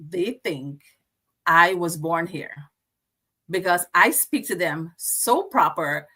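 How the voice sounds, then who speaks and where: Bright-voiced Guyanese woman storyteller. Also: on the low side, slow, slightly rough. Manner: lively storyteller, laughs easily. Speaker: guest. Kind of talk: casual interview chat.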